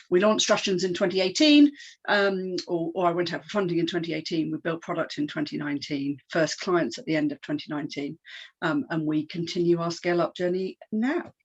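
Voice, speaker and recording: A woman's voice: low voice, breathy edge, poor audio. A woman, a company founder, talking chattily on an online panel, with many restarts and fillers. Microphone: video call.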